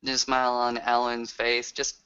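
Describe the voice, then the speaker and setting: Guy doing tabletop rp, crisp bright voice, kinda halting, muffled lo-fi recording. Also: medium pitch, completely flat delivery. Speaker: game master and roleplayer. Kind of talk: improvised tabletop roleplay, narrating and speaking in character.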